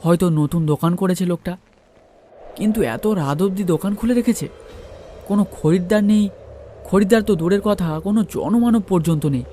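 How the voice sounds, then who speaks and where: A man's voice: sits high, normal speed, warm tone, slight background noise. A man giving a dramatised first-person narration of a ghost story.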